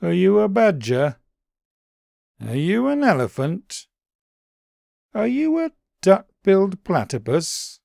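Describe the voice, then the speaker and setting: A naive young storybook rabbit, acted out by a man, pitched high and swooping with curiosity. He is innocent and puzzled, questioning everything in a wondering way. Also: huge pitch swings, slow pace, creaky, long pauses, darkish tone, dry recording, clean studio sound, heavily acted. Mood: innocently curious.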